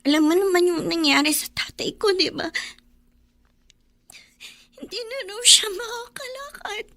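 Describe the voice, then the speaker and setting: An actress playing a teenage girl worried for her injured father, talking softly and tearfully. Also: very high voice, expressive, a little slow, no echo, exaggerated voice. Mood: sad. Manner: soft, tearful, downcast.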